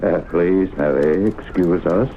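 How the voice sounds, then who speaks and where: An actor playing a cautious, skeptical middle-aged small-town mayor, gravelly and hesitant, in old-time radio drama style. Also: male voice, deep voice. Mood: polite, flustered. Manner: hesitant, measured, formal. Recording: noisy, phone-like old radio broadcast.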